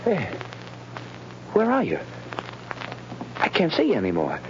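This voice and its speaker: An actor playing a bitter, bewildered condemned prisoner in a 1930s radio drama, emotional and agitated, on a very noisy old recording. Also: male voice, a little high, wildly swooping pitch, a little slow, gravelly, muffled recording, exaggerated voice.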